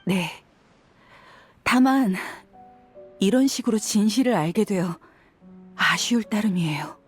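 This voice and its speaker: A woman's voice: mid-range voice, lively intonation, measured pace, husky, soft background music. A woman voicing a frank young desert mercenary, tough but caring, with a casual manner in a dramatic game scene. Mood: wistful, grateful.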